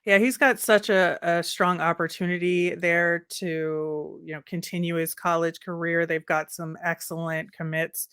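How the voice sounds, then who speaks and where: Woman w a lowish voice chatting casually on a sports podcast, dry close sound. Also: little pitch movement, measured pace, hardly any pauses, a bit bright, smooth, no echo.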